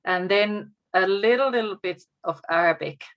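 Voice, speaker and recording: A woman with a Finnish accent speaks over a video call, her voice sitting at an ordinary middle pitch. She talks in a measured, reflective way and sounds gently encouraging. Finnish is her first language. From Finland. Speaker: guest teacher. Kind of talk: casual video call talk.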